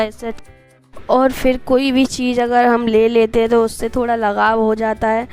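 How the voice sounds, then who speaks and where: A woman vlogger with a Hindi accent from Madhya Pradesh, hoarse from a cold, narrating her daily routine in a chatty, homely way with rambling asides to viewers. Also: on the high side.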